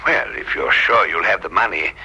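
A nervy wheeler-dealer, pressing hard for a definite answer. His deep voice is husky, with a sharp, tense edge to it. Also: moderate pace, exaggerated voice, poor audio. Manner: hesitant, pressing. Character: anxious male wheeler-dealer on the phone.

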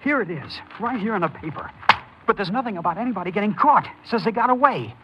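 An actor playing a cocky, jittery young robber and addict in an old crime radio drama, with an American accent and a restless, insistent delivery. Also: male voice, high-pitched, expressive, fast, dull audio, very noisy. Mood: bitter, agitated.

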